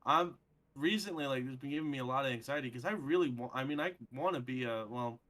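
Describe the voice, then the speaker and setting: A man's voice: mid-range voice, fast talker, a bit bright. Earnest young man rambling. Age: 20s. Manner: rambling, earnest, many fillers. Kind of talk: casual chat on a live stream.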